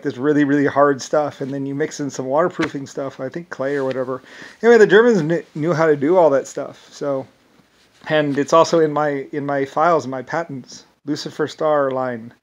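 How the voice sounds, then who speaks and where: A man with an Irish accent talking in a rambling monologue, halting often with restarts and fillers. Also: a little high, slight background noise. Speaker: self-described inventor.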